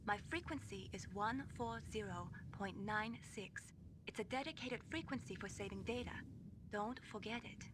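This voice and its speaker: A woman's voice: normal speed, lightly acted. An actress playing an earnest, helpful young female technician in a video game, explaining things precisely. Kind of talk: scripted video game voice acting. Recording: clearly noisy game audio with low rumble.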